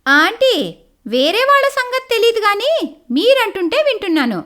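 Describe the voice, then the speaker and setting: A woman voicing a sharp, argumentative young lawyer daughter-in-law in a cartoon moral story. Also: extremely high pitch, very animated, moderate pace, voice pushed up, full character voice. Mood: confrontational. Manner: argumentative, warning, pointed.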